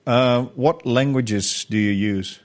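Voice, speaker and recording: A man's voice: medium pitch, slow. A man hosting an interview, asking long, chatty, rambling questions. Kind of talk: casual interview.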